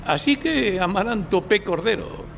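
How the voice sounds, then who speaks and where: An actor playing a commanding, pompous lawyer questioning a client formally in an audio drama. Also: male voice, high-pitched, animated, moderate pace, creaky, fairly bright tone, exaggerated voice. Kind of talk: live audio drama acting. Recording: reverberant hall with background noise.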